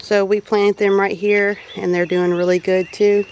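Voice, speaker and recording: A woman's voice: mid-range voice, level tone, normal speed, a little mellow, slight background noise. A woman with a Southern US accent giving a casual, chatty garden tour in a folksy way. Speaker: homesteading vlogger. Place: outdoors in a home garden. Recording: outdoor ambience with animal noises. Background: birdsong and farm animal sounds.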